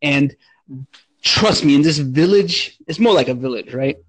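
A young man with a somewhat high, husky voice chats with energy on a podcast. His stories come out loose and profane. The recording is dry and close. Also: measured pace, no echo, low-quality recording. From Romania. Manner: animated, profane storytelling. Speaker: podcast co-host. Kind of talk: casual chat.

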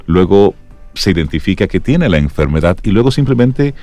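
A man's voice: low-pitched, very animated, moderate pace, mellow, no room sound, soft background music. A man hosting a conversational radio show, courteous and emphatic in the way he talks.

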